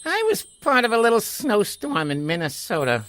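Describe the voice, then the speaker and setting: A man's voice: sits high, sing-song, moderate pace, fairly bright tone. A man playing a restless, self-important little water molecule in a children's radio play, complaining and urging. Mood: fed up. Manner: eager, complaining, urgent.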